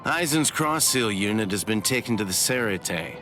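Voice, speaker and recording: An actor playing a calm, knowing man in an anime game, explaining things in a measured way. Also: on the low side, close to an everyday voice. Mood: calm, reassuring. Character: calm, knowing adult man, reassuring and matter-of-fact. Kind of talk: anime game cutscene acting. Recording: loud music behind.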